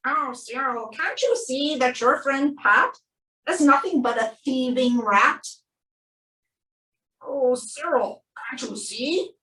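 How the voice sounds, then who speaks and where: Animated, unhurried woman reading in echoey room. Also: high-pitched. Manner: expressive, drawn-out emphasis. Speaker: animal shelter presenter reading a picture book. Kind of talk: children's storybook read-aloud. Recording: roomy, distant microphone.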